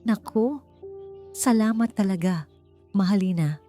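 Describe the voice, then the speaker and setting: A woman's voice: mid-range voice, measured pace, faint music behind, voice pushed up, lightly acted. A woman with a Filipino accent voicing a shy, trusting young pregnant wife in an audiobook drama. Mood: shy, grateful. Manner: gentle, bashful.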